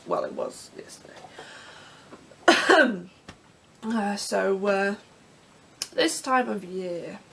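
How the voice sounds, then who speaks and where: A young Englishwoman with a croaky throat, rambling casually through vlog questions from her bedroom with plenty of ums and restarts. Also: mid-range voice, extremely slow, long pauses, a little hiss or hum. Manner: chatty, self-deprecating, many ums and restarts. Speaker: pagan vlogger. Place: bedroom in front of her altar.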